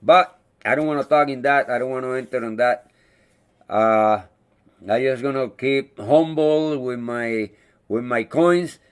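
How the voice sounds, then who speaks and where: A man whose first language is not English, hosting a casual live chat, talking in a halting way and searching for words. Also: mid-range voice, clean tone. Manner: friendly, thanks viewers by name. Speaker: livestream host.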